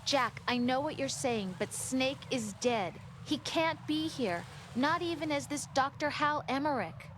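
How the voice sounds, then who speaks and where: An actress playing a concerned young woman on a military support team, speaking in a measured way in video game dialogue. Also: high-pitched, animated. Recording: noisy game audio with ambience.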